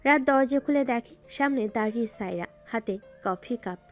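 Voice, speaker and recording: A woman's voice: on the high side, fairly quick, highs cut off, faint music behind. A woman narrating a scripted romantic story in a dramatic style.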